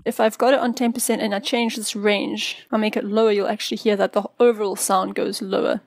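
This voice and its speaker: A woman narrating an instructional software tutorial as its presenter, explaining things in a casual way into a close mic. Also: medium pitch, flat delivery, fairly quick, music in the background.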